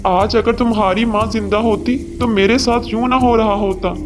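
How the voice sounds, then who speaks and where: A man's voice: sits high, fairly even intonation, normal speed, lightly acted. A man voicing a stern, self-serving father bird in a children's cartoon, speaking in a plain declamatory way. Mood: hurt, regretful. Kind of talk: Hindi children's cartoon dubbing. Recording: long hall reverb, music underneath.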